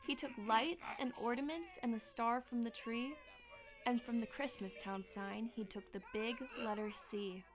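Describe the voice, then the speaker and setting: A woman narrating a storybook in rhyming verse with expressive, theatrical storytelling. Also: fairly high pitch.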